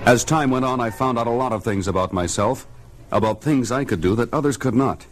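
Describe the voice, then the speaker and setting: A man's voice: mid-range voice, fairly quick, close to an everyday voice. An actor playing a modest, earnest, fatherly superhero, narrating in the first person in an audio comic. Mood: reflective. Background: music.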